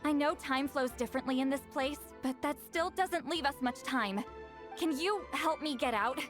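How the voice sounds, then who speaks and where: An actress playing a feisty, commanding young heroine in a fantasy video game. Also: female voice, high voice, moderate pace, close to an everyday voice. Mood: urgent. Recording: faint game music behind.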